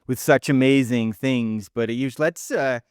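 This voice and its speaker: A man's voice: mid-range voice, clean tone, dry recording, clean studio sound. A man co-hosting a podcast, earnestly reading out a guest introduction and then asking questions, with small stumbles. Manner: earnest, measured, slight stumbles. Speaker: podcast co-host.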